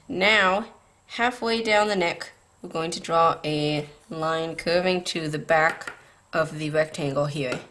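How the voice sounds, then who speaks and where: A woman's voice: sits low, fairly bright tone. A woman hosting a drawing tutorial, explaining patiently step by step, her voice tired and scratchy from a late night. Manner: patient, beginner-friendly explaining.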